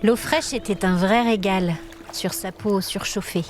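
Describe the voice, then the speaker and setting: A woman's voice: mid-range voice, measured pace. A woman storyteller reading a scripted fantasy tale as dramatic narration. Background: music.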